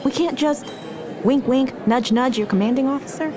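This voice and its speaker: A woman's voice: sits high, moderate pace, crowd noise, lightly acted. An actress playing an anxious, smitten young woman with a wry, fretful manner in a naturalistic audio drama. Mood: teasing, pleading. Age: young adult.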